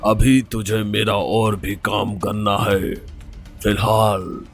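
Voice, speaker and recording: A man's voice: on the low side, little pitch movement, slow pace, soft background music. A man voicing a greedy, commanding evil occultist in a horror cartoon, theatrical and boastful. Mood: greedy, gloating. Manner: theatrical, boastful, gloating laughs.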